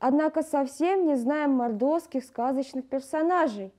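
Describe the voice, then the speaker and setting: High, mellow-voiced woman presenting a lesson. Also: moderate pace. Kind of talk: scripted educational narration.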